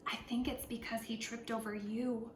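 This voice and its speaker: Woman as a gentle storybook neighbor, roomy echo. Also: a little high, little pitch movement, normal speed, far from the mic, natural voice. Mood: mild, concerned. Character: mild, concerned male monkey neighbor who points out moods. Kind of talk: picture-book read-aloud. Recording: roomy echo, clear background noise.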